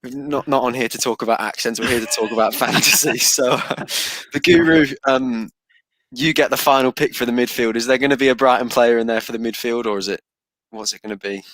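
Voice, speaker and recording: A man's voice: mid-range voice, fairly even intonation, a little fast, a bit bright, no echo. A man hosting a casual fantasy football panel, laughing easily and steering the talk from pick to pick. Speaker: host.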